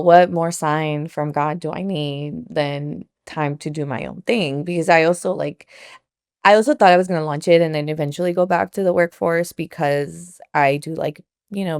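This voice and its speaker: A woman's voice: deep voice, gravelly, no room sound, clean studio sound. A woman in her thirties, born in Colombia, talking casually as an interview guest, chatty with lots of fillers and a few Spanish words. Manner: chatty, warm-hearted, many fillers like "like" and "you know", mixes in Spanish words. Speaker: nonprofit consultant and founder, guest.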